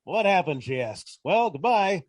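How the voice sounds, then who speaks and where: Guy doing a hard-boiled old radio detective, sarcastic and bossy, really animated, dry close sound. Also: sits high, measured pace, dry recording, full character voice. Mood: wry, breezy. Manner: sardonic, theatrical, hard-boiled. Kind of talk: 1940s radio detective acting.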